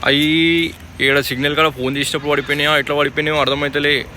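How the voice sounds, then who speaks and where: Young male vlogger, Telangana accent, very bright forceful delivery, informal chat, faint street noise behind. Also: fairly high pitch, level tone, fast talker. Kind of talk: casual chat to camera and on a phone call. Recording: faint outdoor traffic noise.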